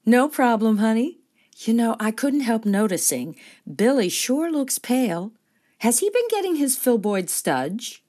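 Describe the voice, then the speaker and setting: A woman's voice: fairly high pitch, big pitch swings, unhurried, darkish tone. A woman playing a concerned, persuasive grandmother in a parody radio commercial. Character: concerned grandmother pushing a breakfast product.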